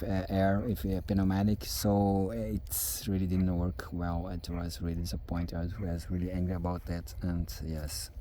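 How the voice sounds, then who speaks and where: A man's voice: low voice, mellow, slight background noise. A man with a Brazilian Portuguese accent, speaking hesitantly with many fillers in a casual outdoor farm vlog. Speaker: farmer vlogger.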